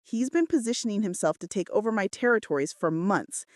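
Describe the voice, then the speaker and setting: A brooding, controlling male mafia boss, as a woman narrator puts him on in a romance audiobook. He speaks tersely and commands every exchange. The pitch swings widely and the recording is dry. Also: expressive, brisk, no echo, lightly acted. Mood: grim. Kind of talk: dramatic romance audiobook dialogue.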